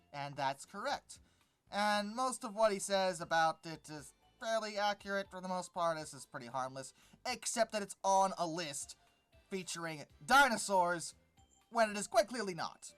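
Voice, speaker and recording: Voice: mid-range voice, a bit bright. A video host giving informal critique commentary in an exasperated, sarcastic and dismissive way. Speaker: host of a paleontology commentary channel.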